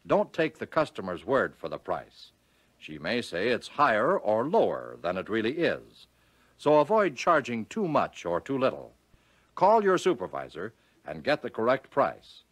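Lively-pitched American man, 1940s instructional narrator. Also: dry recording. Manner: instructive, measured emphasis on key phrases. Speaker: training film narrator.

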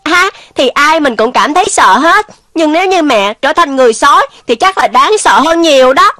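Casting: easily scared schoolboy in cartoon dub, whiny and very high-pitched, over clear music. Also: female voice, animated, normal speed, pushed and bright. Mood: curious, uneasy. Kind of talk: Vietnamese cartoon dubbing. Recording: music behind the voice.

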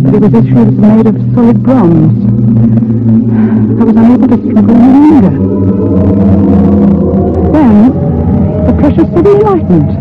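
A man playing a frightened narrator who recounts a haunting in grave, dramatic radio-drama style. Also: extremely high pitch. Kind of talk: dramatic first-person radio thriller narration. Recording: muffled, noisy old radio recording with hum.

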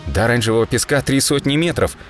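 A man reading a dramatic first-person story as an audiobook narrator, expressively and in short measured phrases. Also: medium pitch, expressive. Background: music.

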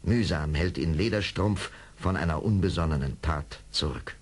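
A man with a husky voice narrating an adventure radio play dramatically from a script. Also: a bass voice, moderate pace.

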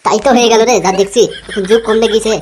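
A woman acts out a gossipy young village sidekick in a cartoon. The voice is fairly high and extremely quick, on a noisy track. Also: fairly even intonation, darkish tone, natural voice. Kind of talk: comic Bengali village cartoon dub.

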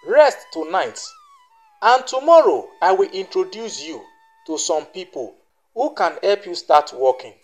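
Storybook madam, read by a narrator: smooth-talking schemer, persuasive and reassuring, over music. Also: mid-range voice, big pitch swings, a little slow, darkish tone. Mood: encouraging.

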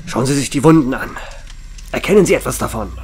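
A man's voice: mid-range voice, lively intonation, a little fast, darkish tone, slight background noise, close to an everyday voice. A man playing a commanding, sarcastic and impatient police chief in a German horror radio play. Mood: stern, sarcastic. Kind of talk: German horror audio drama acting.

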